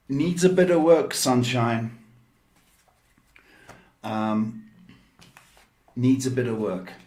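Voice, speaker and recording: A man hosting a psychic tarot livestream, talking slowly and playfully, tired, giving readings with pet names. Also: mid-range voice.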